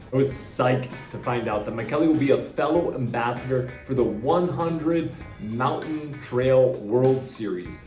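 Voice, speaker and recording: A man's voice: medium pitch, a little mellow. A man hosting a podcast, chatting enthusiastically and often restarting his sentences. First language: English. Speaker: podcast host. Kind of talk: casual interview chat. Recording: roomy echo with faint music.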